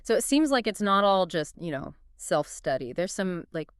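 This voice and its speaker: Smooth-voiced woman chatting eagerly. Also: on the low side, very animated, moderate pace, no room sound, studio quality. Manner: conversational, lots of "like" and "you know", eager reactions. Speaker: podcast co-host. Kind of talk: casual chat.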